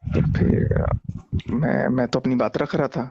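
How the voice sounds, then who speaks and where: Guy w/ croaky voice talking low n halting on a muffled phone recording. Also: fairly even intonation, mellow, no echo. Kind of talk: casual confiding phone chat. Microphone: phone call. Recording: dull lo-fi phone call recording.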